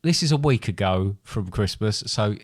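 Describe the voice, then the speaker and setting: A man's voice: lowish voice, lively intonation, normal speed, dry recording. A middle-aged British man co-hosting a podcast in casual, bantering chat. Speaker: podcast co-host.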